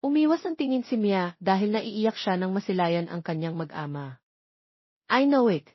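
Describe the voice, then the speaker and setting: A woman narrates a scripted romance story. Her voice sits at a middle pitch. The recording is very dry and a touch muffled. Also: normal speed, no room sound. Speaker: audiobook narrator.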